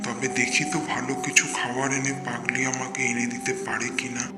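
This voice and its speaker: An actor playing an earnest, persistent but wary man seeking a guru, pleading and muttering doubts, in an animated horror story. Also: mid-range voice, fairly quick, natural voice. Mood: curious, hopeful. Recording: echoey reverberant voice over clear music.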